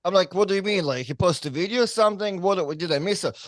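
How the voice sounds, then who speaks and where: A man whose first language isn't English, co-hosting and producing a casual podcast. He tells stories loosely, chuckling along, in a high voice that sounds dry and close. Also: quick pace, no echo. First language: not English.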